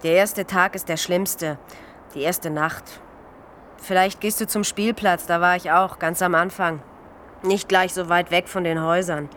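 A brash homeless woman in a radio crime drama, with a slightly low female voice. She talks in a colloquial, urging way, bossy yet coaxing, over noticeable background noise. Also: steady tone, measured pace, a bit bright. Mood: soothing, worldly-wise.

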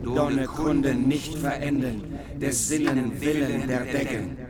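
Männlicher Rezitator, sehr langsam, lauter Menschenmenge im Hintergrund. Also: male voice, medium pitch, steady tone, slow, a crowd in the background. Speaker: reciter of medieval verse. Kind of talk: slow verse recitation.

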